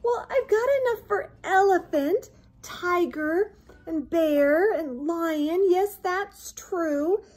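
A woman's voice: very high-pitched, slow pace, a little mellow, voice pushed up. A woman voicing an exasperated but hospitable young boy in a storybook, with dramatic dismay. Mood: resigned welcoming.

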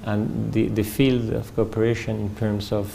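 A man in his fifties from France, with a French accent, answering interview questions slowly and carefully with many fillers, recorded on a lapel mic in a formal room. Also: on the low side, flat delivery, gravelly, mellow, slight background noise. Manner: measured, hesitant with many fillers and restarts. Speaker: ambassador being interviewed. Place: formal reception room indoors.

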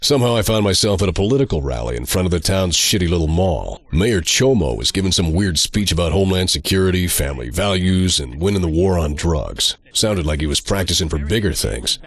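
An actor playing a sardonic, amoral slacker antihero in a video game, husky and deadpan. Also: male voice, sits low, fairly quick, few pauses, dry recording. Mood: deadpan sarcasm. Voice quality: husky, gravelly. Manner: deadpan, sarcastic, dry. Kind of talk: game cutscene narration.

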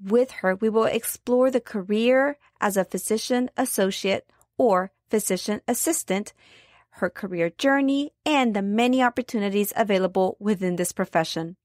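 A woman's voice: medium pitch, normal speed, a little mellow, no room sound, clean studio sound. A woman speaking as the host of an interview podcast. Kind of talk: scripted introduction and interview.

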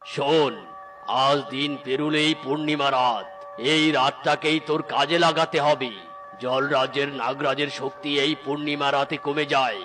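A man's voice: fairly high pitch, lightly acted. A man voicing a stern old male sorcerer who advises demons, grave and commanding. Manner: commanding, grave, slow pronouncements. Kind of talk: cartoon story voice acting. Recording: loud background music.

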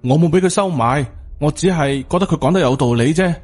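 A man's voice: a little high, brisk, faint music behind, lightly acted. A man voicing an earnest, respectful young Taoist disciple who pleads and reasons in an audiobook. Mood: earnest, worried.

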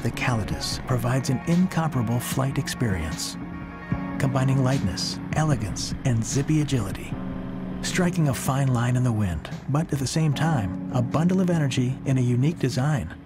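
A man reading a scripted promotional voice-over in a measured, deliberate way, pausing slowly between phrases. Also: mid-range voice, animated. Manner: measured, deliberate delivery with slow pauses. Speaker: corporate video narrator. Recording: voice-over under loud music.

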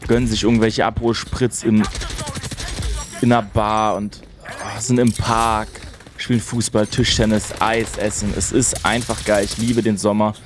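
Chatty young gamer, mid-match chatter. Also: male voice, lowish voice, flat delivery, normal speed, noisy background. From Berlin, Germany. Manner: chatty and enthusiastic, rambling asides. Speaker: gaming streamer. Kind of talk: casual chat while gaming. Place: small home room at a gaming setup. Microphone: studio mic on a boom arm, wearing headphones.

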